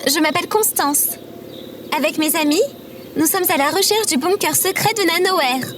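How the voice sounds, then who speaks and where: A woman's voice: extremely high pitch, normal speed, noisy background. A woman playing a composed, dryly witty quest leader with a matter-of-fact manner in an audio drama.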